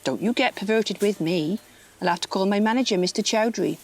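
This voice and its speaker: An actress playing a prim, dim, officious shop assistant in a comedy audio play, matter-of-fact, suspicious and gossipy. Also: female voice, medium pitch, a little fast, lightly acted. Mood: indignant, gossipy.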